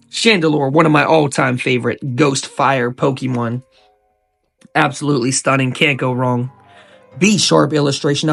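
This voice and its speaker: A young man with a cold hosts his channel, talking in an excited, casual way and repeating his hype words. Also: medium pitch, creaky. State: sick, coughing and sniffling. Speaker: YouTube host. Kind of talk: hyped casual commentary.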